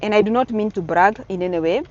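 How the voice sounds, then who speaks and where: A woman's voice: medium pitch, quick pace, muffled recording. A middle-aged woman from Kenya with a Kenyan accent, earnestly giving casual advice in a vlog, repeating her warnings for emphasis. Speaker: vlogger giving advice to newcomers.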